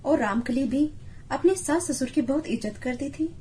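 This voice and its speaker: High-voiced woman narrating a story. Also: normal speed, warm tone, slight background noise. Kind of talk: scripted narration of a moral story.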